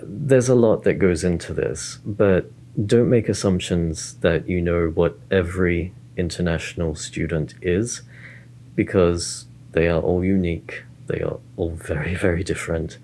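Deep, mellow man, earnest and measured. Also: steady tone, a little hiss or hum. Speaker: international student services staff guest. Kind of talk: casual interview.

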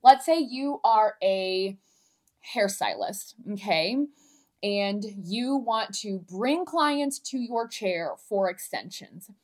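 A woman's voice: medium pitch, animated, slow pace. A woman with a lisp, hosting a solo podcast as a coach, talking in a frank, emphatic, conversational way and swearing freely. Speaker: podcast host and marketing coach. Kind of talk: candid solo podcast talk.

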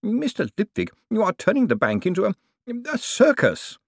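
A man's voice: high voice, wildly swooping pitch, normal speed, vocal fry, breathy edge, no room sound, clean studio sound, pitched above the performer's own voice, exaggerated voice. A man doing a prim, stammering bank cashier. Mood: indignant. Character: fussy, easily offended male chief cashier.

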